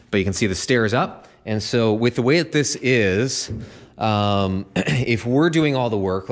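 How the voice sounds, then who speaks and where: A man giving a casual walkthrough of an unfinished house, speaking conversationally with many fillers and frequent "okay?" check-ins. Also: lowish voice. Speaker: home sales guide. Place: unfinished house interior, open framing.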